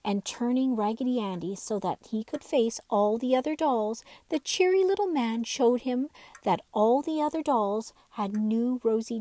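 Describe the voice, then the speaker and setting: High-voiced woman reading a children's story. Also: dark tone, no echo. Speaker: storybook reader. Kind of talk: scripted children's story narration.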